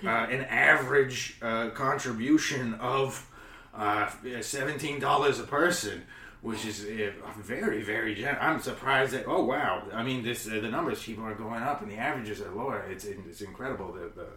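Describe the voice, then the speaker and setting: A man doing a bumbling, excitable panhandler. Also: mid-range voice, moderate pace, distant mic, lightly acted. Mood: rambling. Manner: rambling, excitable, prone to yelling. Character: bumbling middle-aged male panhandler, excitable. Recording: echoey room, off-mic, faint noise.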